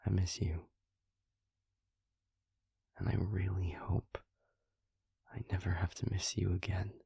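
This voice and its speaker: Man acting as a gravelly, low-voiced lovesick boyfriend. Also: little pitch movement, no echo, exaggerated voice. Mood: tender, wistful. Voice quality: gravelly, husky, breathy. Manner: soft, halting, affectionate. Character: lovesick man leaving a tender voicemail for faraway partner. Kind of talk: intimate audio roleplay acting.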